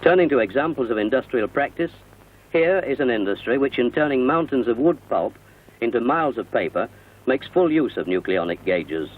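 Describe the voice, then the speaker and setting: A British man narrating a scripted 1960 industrial newsreel in a formal, measured, explanatory manner, recorded as a voice-over. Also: medium pitch, animated. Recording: muffled, narrow-band vintage film soundtrack.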